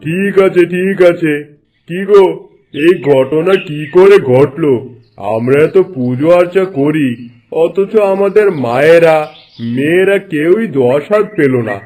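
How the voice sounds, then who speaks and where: A man's voice: fairly high pitch, unhurried, a little mellow, soft background music, natural voice. A man playing a stern, suspicious village headman in a cartoon, questioning and moralising. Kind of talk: cartoon voice acting.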